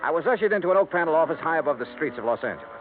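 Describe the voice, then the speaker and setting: An actor playing a blunt, hardboiled insurance investigator in a 1950s radio drama, asking brisk, dry questions. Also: male voice, a little high, expressive, soft background music, lightly acted. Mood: narrating. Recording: tinny lo-fi 1950s radio broadcast with faint hiss.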